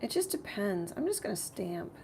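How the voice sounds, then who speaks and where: A woman with a slightly rough voice hosting a casual live painting tutorial, thinking aloud in a rambling way as she works. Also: medium pitch, big pitch swings, moderate pace, dark tone, a little hiss or hum. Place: home art studio.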